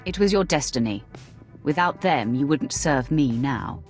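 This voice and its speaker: A woman's voice: extremely low pitch, animated, a little slow, faint music behind. An actress playing a composed, steely female Sith warrior in a video game, controlled, formal and confident. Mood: composed, assured. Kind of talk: video game dialogue acting.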